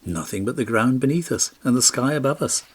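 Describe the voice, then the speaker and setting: A man's voice: medium pitch, animated, a little fast, husky, pitched above the performer's own voice. A man voicing an earnest, plucky young lad in a storybook fairy tale. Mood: peering, hesitant. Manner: earnest, willing.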